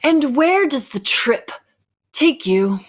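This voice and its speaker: A woman playing a knowing, imperious rebel captor who speaks deliberately and probingly in a radio sci-fi drama. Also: sits high, big pitch swings, heavily acted. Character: commanding, knowing rebel captor challenging orthodox belief. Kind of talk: cold-read old-time radio sci-fi drama.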